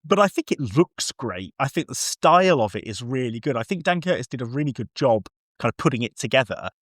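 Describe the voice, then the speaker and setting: A man chatting casually about film in an animated, conversational way. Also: medium pitch, fairly quick, dry recording, clean studio sound. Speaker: podcast host.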